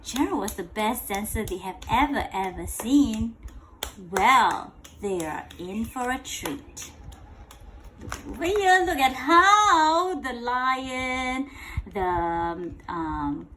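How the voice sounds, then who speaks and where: A woman with a high voice reads a bedtime story aloud, swinging widely in pitch. She takes it slowly, repeats phrases and keeps checking in with the child through simple questions. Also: expressive, sharp tone, a little hiss or hum, rough recording. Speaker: storyteller reading a picture book to a child. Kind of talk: interactive bedtime read-aloud.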